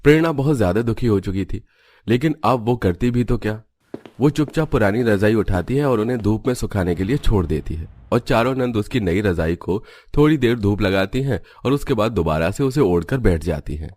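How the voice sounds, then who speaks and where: Male storyteller for animated family tale, lowish voice, scripted narration. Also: fairly quick.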